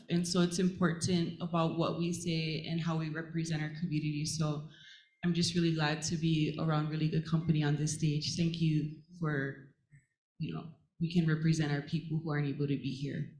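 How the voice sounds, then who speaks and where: A woman from Hawaii speaking as a panelist and assistant professor on a conference stage, earnest and warm, with frequent pauses and fillers. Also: deep voice. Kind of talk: panel talk to a live audience. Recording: roomy hall echo.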